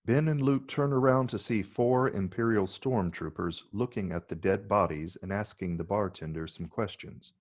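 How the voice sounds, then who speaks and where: A man with a gravelly, husky voice reading stage directions as scripted narration. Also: lowish voice, normal speed, hardly any pauses, darkish tone.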